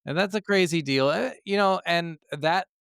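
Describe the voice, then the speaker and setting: A man's voice: fairly high pitch, normal speed, vocal fry, a bit bright, smooth, no echo, clean studio sound. A man co-hosting a casual gaming podcast, talking informally and swearing freely. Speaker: podcast co-host.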